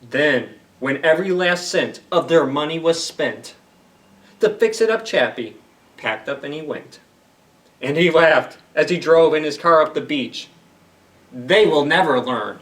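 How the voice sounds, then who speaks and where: Guy doing library story time for kids, explains stuff simply, slightly high voice, mic a bit far. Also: expressive, a little slow, a bit bright. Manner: simple explanations and questions addressed to children. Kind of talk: animated children's storybook reading with asides.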